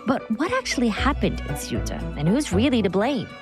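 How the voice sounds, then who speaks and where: A woman hosting a news program, narrating in a clear, conversational storytelling style. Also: fairly high pitch, wildly swooping pitch, fairly quick, over music. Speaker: program host. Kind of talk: scripted radio narration.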